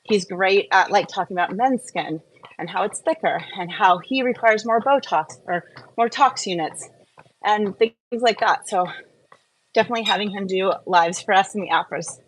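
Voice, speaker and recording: A woman's voice: mid-range voice, normal speed, slight background noise, low-quality recording. A woman hosting a casual live Q&A, talking in an informal, rambling, chatty way. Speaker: live-stream host.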